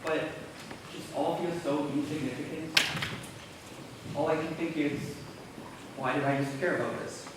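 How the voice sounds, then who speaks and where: A man's voice: on the high side, steady tone, normal speed, long pauses, natural voice. A young male student actor playing a grieving, guarded teenage boy in a school stage play. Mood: weary, grieving. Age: teenager or young adult. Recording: echoey noisy stage, distant mic.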